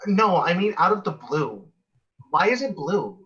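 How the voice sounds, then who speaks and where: A man's voice: high voice, sing-song, a little slow, bright, forceful tone, heavily acted. A man acting a sassy, nitpicking, gossipy office coworker in a sitcom table read, arch and wry, over a muffled video call.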